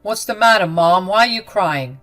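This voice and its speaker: A woman's voice: mid-range voice, lively intonation, moderate pace, a bit bright, faint music behind, lightly acted. A woman voicing an incredulous young husband. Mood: puzzled. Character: young husband, protective and upset.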